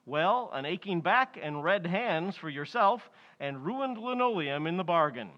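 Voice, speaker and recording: A man's voice: high voice, expressive, a little slow, lo-fi sound. A man playing a hearty 1940s radio sponsor announcer, delivering an emphatic, salesmanlike read. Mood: upbeat.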